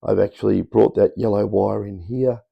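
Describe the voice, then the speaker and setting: A middle-aged Australian man with a croaky, worn voice from talking all day, rambling casually as he demonstrates a build in his home workshop. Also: fairly low pitch, fairly even intonation, normal speed, lo-fi sound. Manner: rambling, offhand, chatty explaining. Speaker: electronics hobbyist host. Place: cluttered home workshop, indoors. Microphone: camera mic on tripod.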